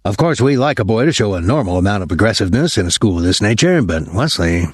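A man voicing a nervous, apologetic older military academy colonel in an audiobook, speaking formally and haltingly with some embarrassment. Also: lowish voice, expressive, husky, no echo, studio quality. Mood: uneasy, grave.

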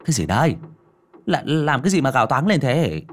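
Male narrator playing a loud mocking young villager, grumpy and brash, lively pitch, husky. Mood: irritated. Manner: loud, scoffing, fast.

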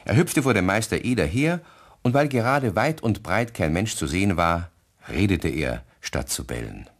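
Low-voiced guy narrating a kids radio play, really lively intonation. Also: normal speed. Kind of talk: scripted children's story narration.

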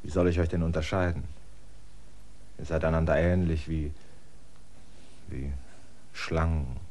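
A man's voice: extremely low pitch, steady tone, unhurried, darkish tone. An actor playing a weary, suspicious land surveyor who gives his assistants firm orders in a radio play. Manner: tired, suspicious and commanding. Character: weary stranger, a land surveyor, middle-aged man. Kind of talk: classic German radio drama acting.

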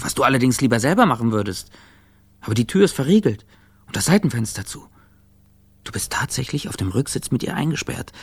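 Anxious guy's inner monologue, radio drama, husky n gravelly, brooding, self-blaming. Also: lowish voice, dry recording, exaggerated voice. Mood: uneasy, agitated. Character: anxious, self-accusing male business traveller, inwardly narrating.

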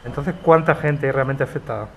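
A man's voice: mid-range voice, brisk, slight background noise, lightly acted. A man playing a supportive community radio reporter conducting an interview in a radio drama. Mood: engaged, supportive.

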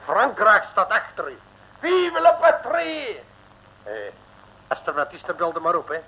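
A man playing an effusive, excitable foreign official in an old radio comedy serial, speaking in a florid, gushing way. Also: sits high, measured pace, husky, heavily acted. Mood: grandiose. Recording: noisy old radio recording.

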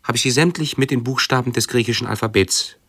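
An actor in a radio drama playing an anxious, pedantic hypochondriac who speaks in a halting, brooding, fussily precise way. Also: male voice, mid-range voice, fairly bright tone, no echo. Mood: anxious, fussy. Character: anxious, pedantic male hypochondriac with obsessive self-observation. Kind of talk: radio drama monologue and dialogue.

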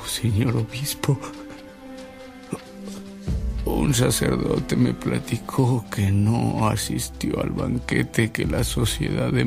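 An actor playing a respectful man recounting memories in a radio drama, in a measured, reminiscing way. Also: mid-range voice, gravelly.

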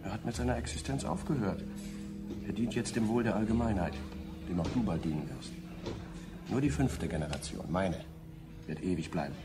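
A self-assured young replacement slave in a dystopian radio play. His low voice is cold, superior and matter-of-fact, with loud music behind it. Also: animated, normal speed, pauses often. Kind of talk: 1970s science fiction radio drama dialogue.

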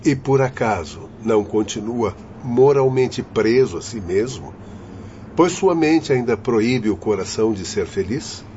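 A man acting a firm, patient doctor in a radio drama, speaking in a measured, formal, instructive way. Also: medium pitch, expressive, dark tone, lightly acted. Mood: admonishing. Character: firm, patient doctor guiding troubled spirits. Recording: dull, noisy lo-fi audio.

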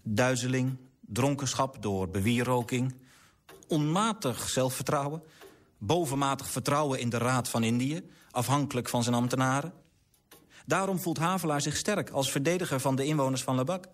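An actor playing an earnest young man who tells a sorrowful tale in a measured radio play manner. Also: medium pitch, natural voice.

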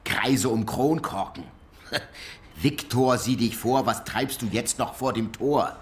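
An actor playing a scornful, sceptical police detective in a radio crime drama, muttering dismissively. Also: male voice, mid-range voice, slow, a little hiss or hum, lightly acted.

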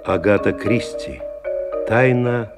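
A measured radio drama announcer. He speaks low and very deliberately, with loud mallet-percussion music around his voice. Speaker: title announcer. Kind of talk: radio play title announcement.

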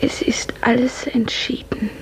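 A woman playing a dreamy, grief-numbed young serf in a 1950s radio drama, hushed, breathy and mournful. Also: fairly high pitch, little pitch movement, a little slow. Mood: dreamy devotion. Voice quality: breathy, half-whispered.

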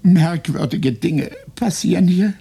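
A man's voice: high voice, unhurried, creaky, mellow, husky, full character voice. An actor in a German radio play, playing a terrified, neurotic man who stammers when he is afraid. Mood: panicked. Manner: stammering, nervous. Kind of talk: 1990s radio play acting.

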